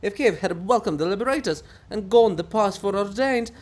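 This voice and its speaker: A man's voice: high-pitched, normal speed, darkish tone, voice pushed up. A man voicing a vain, fanatical monument come alive in a dramatic stage farce, declaiming pompously and theatrically. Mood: fanatical ranting. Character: ranting, grandiose Bulgakov monument, vain and fanatical.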